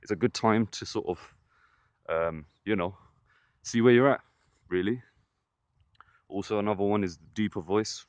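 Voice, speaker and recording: Man, fairly deep voice, casual vlog talk, earnest, encouraging, dry close sound. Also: moderate pace, pauses often, dry recording. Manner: earnest, encouraging, keeps saying "listen" and "trust me". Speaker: vlogger. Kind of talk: casual motivational vlog monologue.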